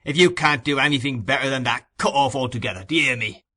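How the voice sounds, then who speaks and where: A man's voice: medium pitch, quick pace, bright, forceful tone, voice pushed up. A man doing a growling, savage sick thug. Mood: gruff, savage. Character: sick, brutish grown man, a bullying thug.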